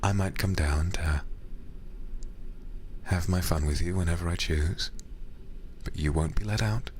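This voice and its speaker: A man playing a menacing, amused demon interrogator in an intimate ASMR roleplay, speaking slowly and deliberately with teasing pauses. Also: low voice, a little mellow, a little hiss or hum. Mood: calm menace.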